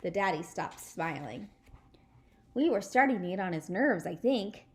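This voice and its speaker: A woman reading a children's storybook aloud in an animated, expressive style. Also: medium pitch, measured pace. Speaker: storybook reader.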